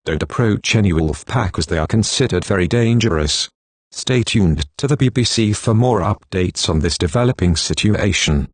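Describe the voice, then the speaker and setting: A synthetic text-to-speech man's voice reading a scripted emergency broadcast announcement in a formal, measured, impassive manner. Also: on the low side, lively intonation, no echo.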